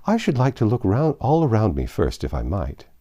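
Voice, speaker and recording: A man reading aloud, voicing a polite, earnest seven-year-old girl in a gentle, lifted tone. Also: on the low side, wildly swooping pitch, normal speed, no room sound, clean studio sound. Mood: curious.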